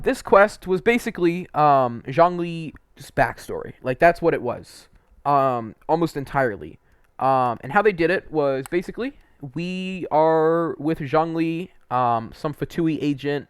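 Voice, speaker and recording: A man's voice: a little high, dry recording, clean studio sound. A young man reviewing video games in a casual, opinionated, conversational way, leaning on words for effect. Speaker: video game reviewer and host. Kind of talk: casual opinionated ranking commentary.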